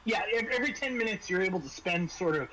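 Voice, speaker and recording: A man acting as game master, describing scenes and explaining rules in a halting way, restarting his phrases. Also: high-pitched, animated, noisy background. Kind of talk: tabletop RPG narration and casual rules talk.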